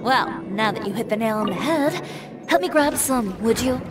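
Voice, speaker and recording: A woman's voice: a little high, lively intonation, normal speed, close to an everyday voice. A woman playing a determined young fashion designer in a video game, composed, assertive and dryly self-assured. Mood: brisk, assured. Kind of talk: video game dialogue voice acting. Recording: music behind the voice.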